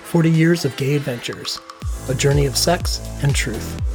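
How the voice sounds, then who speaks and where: A middle-aged man with a husky, gravelly voice, narrating scripted first-person stories as a podcast host. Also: on the high side, moderate pace. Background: faint music.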